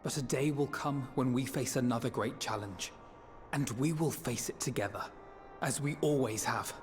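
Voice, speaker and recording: A man playing an earnest, eloquent young scholar in a video game, speaking in formal, measured, poetic phrases. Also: medium pitch, natural voice. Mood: resolute. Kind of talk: scripted narration and dramatic dialogue. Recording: long reverb, music behind.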